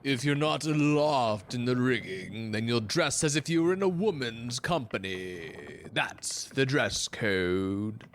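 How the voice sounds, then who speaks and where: An actor playing a pompous, fussy first mate of an old sailing ship in a theatrical audio drama, with florid archaic phrasing. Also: male voice, mid-range voice, lively intonation, slow, few pauses, slight background noise. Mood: stern, indignant.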